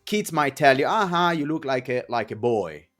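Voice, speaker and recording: A man in his thirties hosting a podcast in casual chat, speaking haltingly with repeated words and non-native phrasing. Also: mid-range voice, very animated, fairly bright tone. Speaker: podcast host.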